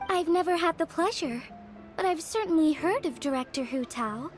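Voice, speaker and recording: A woman playing a polite, learned young woman in an anime game, explaining in a measured, formal manner. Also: very high voice, lively intonation, soft background music.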